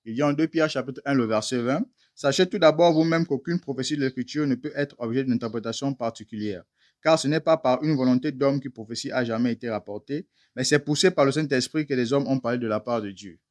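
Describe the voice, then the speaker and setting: A man's voice: medium pitch, brisk. A man preaching a teaching sermon, emphatic and firing rhetorical questions at listeners he calls beloved brothers.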